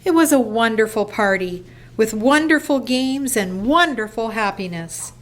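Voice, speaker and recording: A woman's voice: a little high, animated, a little slow, fairly bright tone, low-quality recording. A woman narrating a classic story as scripted storybook narration for a radio play.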